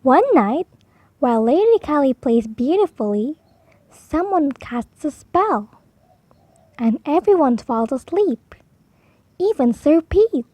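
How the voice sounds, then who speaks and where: Woman, high voice, very slow, clear deliberate storybook reading, dry close sound. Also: big pitch swings, mellow, dry recording. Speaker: storybook reader. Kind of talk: slow children's read-aloud.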